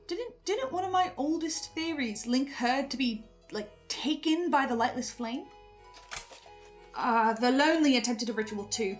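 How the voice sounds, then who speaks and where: A woman hosting earnest theory commentary, speaking deliberately with dramatic pauses and stammered repeats. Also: on the high side. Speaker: host presenting theories on a horror podcast.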